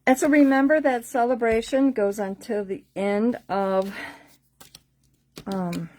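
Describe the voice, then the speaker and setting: A woman's voice: fairly high pitch, steady tone, slow pace, a little mellow, slight background noise. A woman hosting a live card-making class in a casual, chatty, rambling way, repeating herself, her voice slightly worn as if she is losing it. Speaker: host of a card-making craft class livestream. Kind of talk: casual live tutorial chat with sales pitch.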